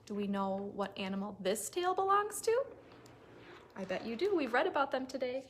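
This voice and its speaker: A woman with a fairly high voice reads aloud to small children as a storyteller. Her delivery is animated and sing-song, addressed straight to young listeners. There is noticeable noise in the background. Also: moderate pace. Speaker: children's librarian leading story time. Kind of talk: animated read-aloud for toddlers.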